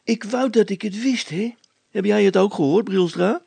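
A cheeky storybook poacher boy, acted out by a man, pitched well up high. He is boastful and defiant in a colloquial way, and the sound is close and dry. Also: moderate pace, gravelly, warm tone, husky, no room sound, heavily acted. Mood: mocking. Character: cocky, mocking young poacher boy.

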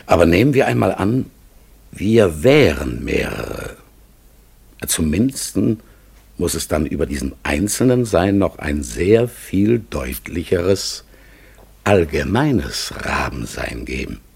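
A melancholy raven in a radio drama, slow and solemn. The voice is low and badly husky, with gravelly creak, often sinking toward breath as it yearns for a lost world. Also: male voice, big pitch swings, a little mellow, full character voice. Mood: pensive. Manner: slow, solemn, halting, dropping into whispers. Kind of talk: German radio drama acting.

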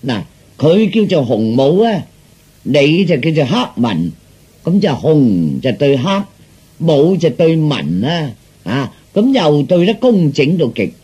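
A man voicing a glib, flattering fortune teller in Cantonese, with theatrical sales patter. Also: a little high, slow, breathy edge, exaggerated voice. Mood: effusive flattery. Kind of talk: Cantonese storytelling performance.

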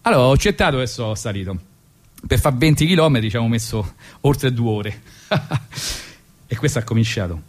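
A man with a Roman accent tells comic stories on a radio show. He rambles ironically from one aside to the next. His voice sits at an ordinary middle pitch. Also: lively intonation, a little fast, pauses often. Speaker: radio host. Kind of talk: comic storytelling monologue. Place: radio studio.

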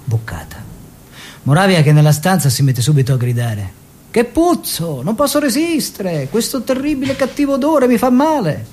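A man's voice: on the high side, darkish tone, husky, heavily acted. An actor playing a genial aristocratic painter husband in an Italian radio drama, telling stories theatrically, mimicking dialogue and drawing out his words. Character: Nino, genial aristocratic bohemian painter husband, fond raconteur. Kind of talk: Italian stage-style radio drama, narrated recollection.

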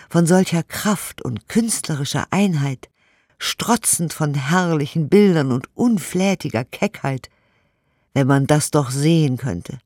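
A woman's voice: sits low, a little mellow, breathy edge, dry recording, clean studio sound, exaggerated voice. An actor in a radio play voicing an earnest, indignant woman who reads 1890s letters and commentary emphatically and articulately. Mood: admiring, emphatic. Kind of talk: dramatic radio play recitation of historical documents.

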